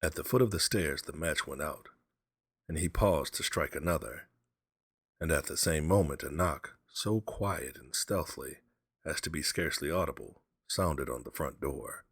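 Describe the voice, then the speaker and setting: A man reads a horror story aloud as a dramatic narrator. His voice is deep and grainy, moving expressively through the prose, recorded close and dry. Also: moderate pace, fairly bright tone, husky, no echo.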